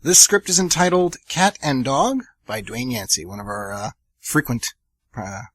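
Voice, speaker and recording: A young man hosting a college radio theater show, chatty and energetic with plenty of fillers. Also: mid-range voice, normal speed, dry recording. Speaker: host.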